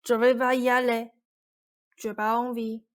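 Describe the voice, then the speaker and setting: A woman's voice: on the high side, little pitch movement, a little slow, lightly acted. A woman playing a stubborn, grieving young Cinderella in a French radio play, with a brooding delivery. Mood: sullen, withdrawn.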